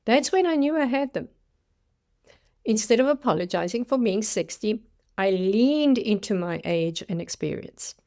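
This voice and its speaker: A woman's voice: medium pitch, expressive, unhurried, mellow. South African woman, 60s, career coach delivering a dramatic scripted personal story. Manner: dramatic storytelling with acted-out quotes.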